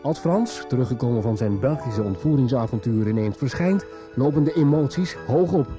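A man's voice: medium pitch, lively intonation, normal speed. A man reading a scripted recap as the narrator of a radio soap. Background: prominent music bed.

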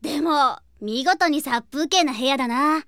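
A woman's voice: sits high, unhurried, fairly bright tone, no echo, studio quality. A woman voicing a small, curious, blunt boy with a childlike manner in a Japanese audio drama. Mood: frank, eager. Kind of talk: Japanese anime-style audio drama acting.

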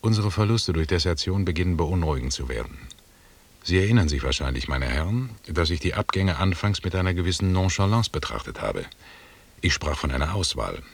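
An actor in a German radio drama playing a stern British expedition commander, speaking in a measured, formal, coldly authoritative way. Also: male voice, low-pitched, very animated, vocal fry, a little hiss or hum, full character voice. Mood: grave, calculating. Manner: measured, formal, rhetorical speech.

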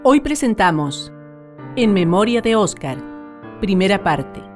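A woman's voice: mid-range voice, very animated, a little slow, a little mellow. A woman with a Chilean accent reads a scripted radio documentary narration in a solemn, formal manner. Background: music.

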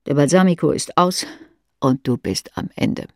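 A woman's voice: low-pitched, lively intonation, normal speed, creaky, no room sound, clean studio sound. A woman reading scripted literary narration as an audiobook, dramatic, moving between murmurs and sharper delivery. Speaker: audiobook reader.

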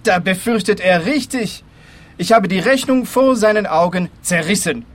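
An actor playing an agitated, frightened widower who complains excitably about money in a comic detective radio play. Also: male voice, sits high, moderate pace, natural voice. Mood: indignant.

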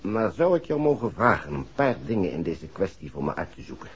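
An actor playing a polite, somewhat hesitant gentleman in a 1960s radio drama. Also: low voice, sing-song, brisk. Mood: polite, concerned. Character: polite, hesitant gentleman seeking a detective's help. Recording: muffled hissy vintage radio recording.

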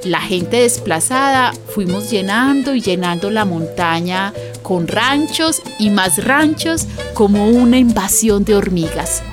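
An actress playing a chatty, streetwise, friendly neighbor with a Colombian paisa accent, narrating and acting in a radio drama. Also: female voice, medium pitch, animated, a little slow, hardly any pauses, close to an everyday voice. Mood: storytelling. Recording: music bed behind the voice.

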